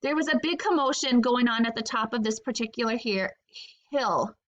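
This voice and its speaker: A woman reading a children's book aloud as a teacher, in an expressive storytelling style. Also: a little high, normal speed, muffled recording.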